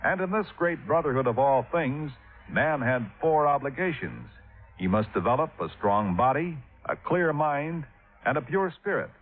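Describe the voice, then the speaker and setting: An American man working as a radio announcer, reading formal scripted narration in a measured, solemn manner. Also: on the high side, lively intonation. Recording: phone-like vintage radio recording with music.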